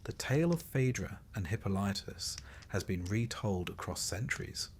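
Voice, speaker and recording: A man narrating a scripted bedtime story in a measured way, with dry, wry understatement. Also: fairly low pitch, expressive, a little hiss or hum.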